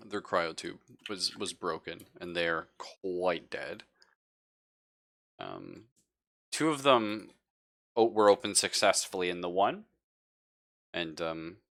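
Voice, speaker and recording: A man narrating a horror tabletop game as game master, improvising in a hesitant, halting way. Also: sits low, vocal fry, fairly bright tone.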